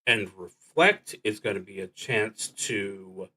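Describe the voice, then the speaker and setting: A man streaming a solo tabletop game, casually explaining rules in a halting, thinking-aloud way that often trails off. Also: lowish voice, expressive, sharp tone, low-quality recording.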